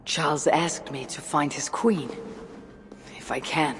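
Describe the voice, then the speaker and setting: An actor playing a calm, determined Viking warrior in a video game cutscene. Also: male voice, a little high, takes it slowly, background noise. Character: steady male Viking warrior, calm and resolute. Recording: game audio with reverb and music.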